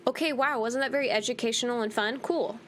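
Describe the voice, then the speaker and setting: Wry woman with a highish voice, gaming commentary. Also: fast talker, a bit bright, slight background noise. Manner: wry, irreverent, teasing. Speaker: gaming video host. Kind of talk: casual game playthrough commentary.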